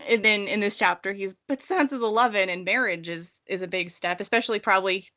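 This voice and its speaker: A woman's voice: medium pitch, brisk, pushed and bright. A woman in her thirties co-hosting a casual book discussion, speaking in an emphatic, exasperated way. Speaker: podcast co-host; romance writer.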